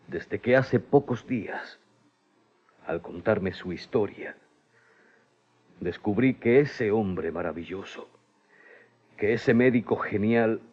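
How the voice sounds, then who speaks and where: A man's voice: lowish voice, darkish tone, breathy edge. An actor playing a remorseful older patrician father, speaking formally and declaiming in old radio melodrama style. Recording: dull lo-fi old drama recording.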